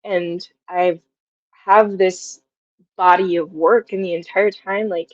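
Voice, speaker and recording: A woman in her twenties speaks as a guest in a casual interview, with a halting, reflective delivery. The sound is dry and close, a little dull in the top end. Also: on the low side, steady tone, no echo. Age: 20s. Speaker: guest, audio drama writer.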